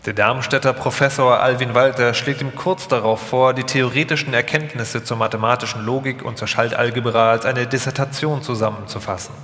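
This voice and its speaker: Male narrator, scripted documentary feature, live reading, mid-range pitch, long hall reverb. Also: fairly even intonation, brisk, few pauses, a bit bright. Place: large hall, live stage performance.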